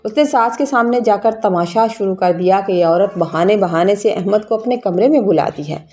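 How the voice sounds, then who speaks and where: A woman's voice: medium pitch, animated, warm tone. A woman reading a scripted, emotional first-person story as its narrator. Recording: music bed behind narration. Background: background music.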